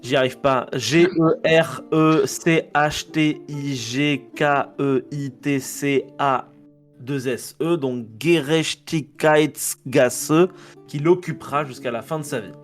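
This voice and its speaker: A man's voice: fairly high pitch, fairly even intonation, slow, faint music behind. French man reading history, trips over names. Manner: reads a text, stumbles on names, jokes and swears at himself. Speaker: podcast co-host presenting a history segment. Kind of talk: casual history podcast narration read from notes.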